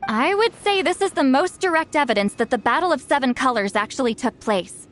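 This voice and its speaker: An actress playing a sharp young woman investigator, dry and teasing in a game. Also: sits high, animated, a little fast. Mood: explaining.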